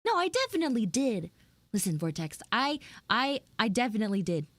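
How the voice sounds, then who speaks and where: A woman's voice: a little high, very animated, brisk, a bit bright, no echo. A woman livestreamer talking casually and expressively to her viewers in informal, exclamatory storytelling. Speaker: VTuber livestream host. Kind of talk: live roleplay chat with viewers, casual storytelling.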